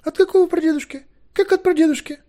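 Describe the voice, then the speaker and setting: A man voicing an excitable, earnest young woman in a fantasy audiobook, breathless, fretful and exclaiming. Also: extremely high pitch, moderate pace, voice pushed up. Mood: panicked.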